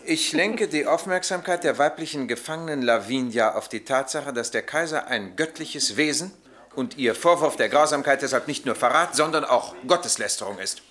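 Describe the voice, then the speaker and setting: Actor as a sharp, officious Roman captain. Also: male voice, mid-range voice, animated, normal speed, lightly acted. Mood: coolly official. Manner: officious, clipped, formal military pronouncements. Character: stern Roman army captain, formal, coolly ironic. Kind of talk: radio drama acting.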